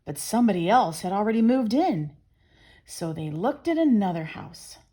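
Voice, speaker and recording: A middle-aged woman reading a children's picture book aloud as storyteller, expressive and deliberate. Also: mid-range voice. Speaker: storyteller and channel host.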